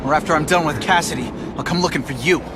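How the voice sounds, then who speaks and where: A man's voice: fairly high pitch, fast, background noise, natural voice. An actor playing a young, wisecracking male superhero in a video game, earnest, quippy and urgent. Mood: sardonic, determined. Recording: loud music and vehicle sounds behind.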